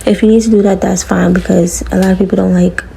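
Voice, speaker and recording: A young woman with a raspy voice chatting casually to viewers as she styles her hair, relaxed and full of slang, in a small room. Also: medium pitch, quick pace, slight background noise, rough recording. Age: young adult. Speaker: beauty vlogger. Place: small room before a green screen.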